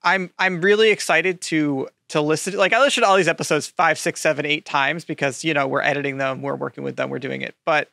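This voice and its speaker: A man speaks as a podcast co-host in a casual, earnest style. His voice is bright and forward with plenty of creak, and he moves haltingly, repeating phrases. The recording is very dry. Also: a little high, steady tone, no room sound.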